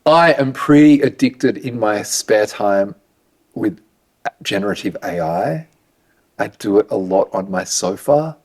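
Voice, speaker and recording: A man's voice: on the low side, a little slow. A man with an Australian accent, talking casually in an interview. He answers with easy humor and pokes fun at himself. He speaks as a program director describing his work. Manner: relaxed, self-deprecating humor.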